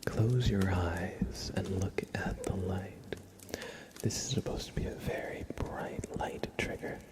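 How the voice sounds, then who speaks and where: Deep, whispery man doing ASMR. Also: slow. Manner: gentle, hushed delivery, often dropping into a whisper. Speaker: ASMR livestream host. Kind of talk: ASMR, soft-spoken and whispered livestream chat.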